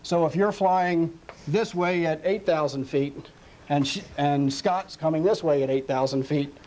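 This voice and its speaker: A man's voice: on the high side, unhurried, darkish tone. An American man, an air traffic controller, explaining his work in an interview in a plain-spoken, matter-of-fact way.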